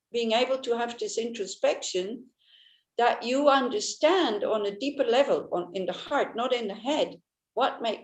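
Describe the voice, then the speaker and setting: A woman whose first language is Swiss German gives a Buddhist teaching in a gentle manner, often restarting and searching for words. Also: mid-range voice. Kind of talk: Dharma teaching talk. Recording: echoey room.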